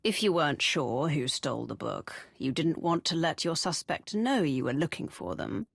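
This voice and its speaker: An actress playing an assertive Imperial commander in a video game, direct, probing and expressive. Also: female voice, sits low, normal speed, vocal fry, husky, no echo, full character voice. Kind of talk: dramatic video game voice acting.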